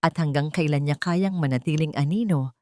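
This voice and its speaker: Low-voiced woman narrating a dramatic story. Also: normal speed, darkish tone, dry recording, clean studio sound.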